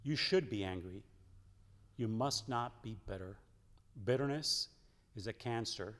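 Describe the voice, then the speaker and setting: A man's voice: on the low side, unhurried, a bit bright. A man, a church member, reading quotations aloud in a solemn, scripted way. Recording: long hall reverb.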